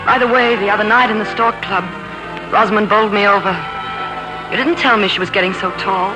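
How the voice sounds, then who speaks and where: A woman's voice: mid-range voice, a little fast, close to an everyday voice, low-quality recording. An actress playing a coolly teasing, independent city woman in 1940s American radio drama, with dry banter. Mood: wry. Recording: vintage 1940s radio broadcast with music.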